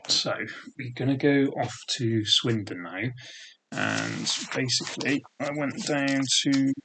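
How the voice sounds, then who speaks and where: A man's voice: medium pitch, sing-song, takes it slowly, creaky, a bit bright, slight background noise, rough recording. A man in his thirties with a thick regional British accent, casually reminiscing in a rambling way. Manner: rambling, full of "sort of" and "um" fillers. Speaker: vlogger.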